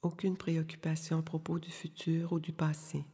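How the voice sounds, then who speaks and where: A woman leading a guided yoga nidra meditation, giving calm, soothing, unhurried instructions with long pauses in a soft, breathy voice. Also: deep voice. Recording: long reverberant hall-like echo.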